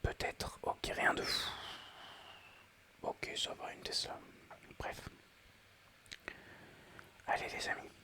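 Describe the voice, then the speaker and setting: A man whispering ASMR-style commentary while playing a mobile game, chatty and excitable, addressing his viewers. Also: slow, long pauses.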